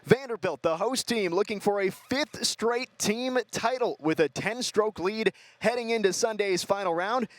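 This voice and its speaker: A man calling live sports play-by-play in punchy, crisp announcer phrasing. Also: sits high, moderate pace, no room sound.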